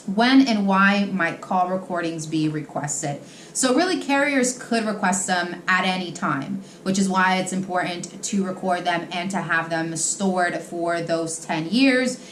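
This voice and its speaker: Woman explaining rules in a roomy space. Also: fairly low pitch. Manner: instructive, question-and-answer delivery. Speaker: host, insurance industry advisor answering agent questions. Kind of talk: explainer FAQ, semi-scripted direct address to viewers. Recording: roomy echo, faint background noise.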